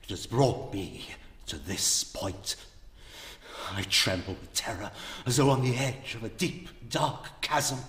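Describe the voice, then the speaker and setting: Slow, portentous mad scientist. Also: male voice, fairly low pitch, lively intonation, fairly bright tone, exaggerated voice. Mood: grave dread. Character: obsessed, grandiose, tormented male mad scientist. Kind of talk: gothic radio play monologue. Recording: echoey hall, ambient noise.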